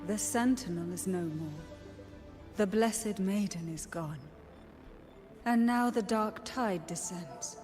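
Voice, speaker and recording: An actress playing a courteous, well-connected woman of rank in a video game, with formal, deliberate delivery. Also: medium pitch, darkish tone, close to an everyday voice. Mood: grave, questioning. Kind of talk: scripted video game dialogue. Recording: long reverb, music underneath. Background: game music.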